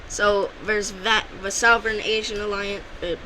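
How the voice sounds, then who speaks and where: Bright-voiced woman rambling through map commentary. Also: medium pitch, steady tone, a little slow, slight background noise, poor audio. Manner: loose and rambling, with frequent restarts and self-corrections. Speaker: alternate-history map narrator. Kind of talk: casual unscripted map commentary.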